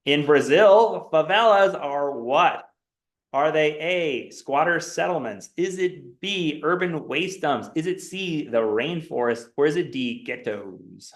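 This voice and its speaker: A man's voice: fairly high pitch, expressive, takes it slowly. A male instructor running a quiz review game with a class, reading multiple-choice options aloud with a rising, questioning tone. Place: lecture classroom. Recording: echoey classroom.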